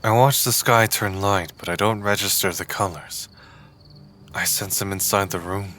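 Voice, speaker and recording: Man acting weary resistance fighter, low voice, restrained, hesitant, audio drama, faint music behind. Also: steady tone, normal speed, a bit bright. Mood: weary, introspective.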